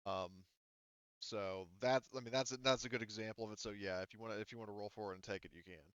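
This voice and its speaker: Male game master, low clean voice, slightly dull mic, casual and sweary table talk. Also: steady tone, quick pace, fairly bright tone, smooth, dry recording.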